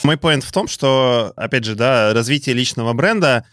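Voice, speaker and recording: A man hosting a casual podcast chat, talking in a measured way and thinking aloud with hesitations. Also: medium pitch, a bit bright, no room sound, studio quality, faint music behind. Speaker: podcast host.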